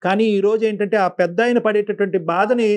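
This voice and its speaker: A man's voice: high voice, fast talker, a little mellow. A man counselling callers as a show host, earnest and admonishing, repeating points for emphasis. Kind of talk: phone-in counselling talk.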